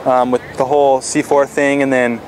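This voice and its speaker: A teenage man answering interview questions in a relaxed, casual way, with frequent fillers and restarts. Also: medium pitch, normal speed, slight background noise, poor audio. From Florida. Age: teens. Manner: laid-back, lots of fillers and restarts. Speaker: stand-up paddle athlete.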